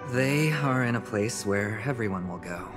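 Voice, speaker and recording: An actor playing a wistful, gentle young man in a video game, speaking softly and reflectively with halting phrases. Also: lowish voice, animated, over music, close to an everyday voice. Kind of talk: dramatic video game acting.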